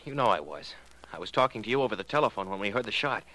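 An actor playing a blunt, defensive fiancé in a 1940s radio drama, speaking in a direct, insistent, plainspoken way. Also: male voice, lowish voice, lively intonation, racing, bright, forceful tone, rough recording. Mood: defensive, challenging.

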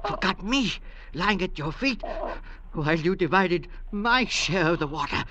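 An actor playing a delirious, dying castaway with desperate, melodramatic delivery in 1940s radio drama style. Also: male voice, high voice, animated, unhurried, sharp tone, full character voice. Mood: vengeful. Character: pleading, delirious, dying male castaway, vengeful. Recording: noisy vintage radio recording.

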